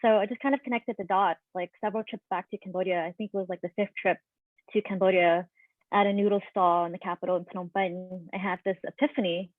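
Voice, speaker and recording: A woman restaurant owner and chef sharing her story on a panel over a video call, earnest and reflective with frequent pauses. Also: mid-range voice, steady tone, no echo. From United States. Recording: dry, phone-like video call audio.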